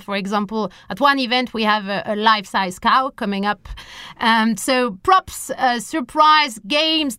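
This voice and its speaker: A woman speaks. Her voice is bright and fairly high, and she explains things emphatically, piling up lists. The sound is clean and dry. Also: smooth, dry recording. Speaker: interview guest, scientist and science outreach organiser. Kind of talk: enthusiastic interview explanation.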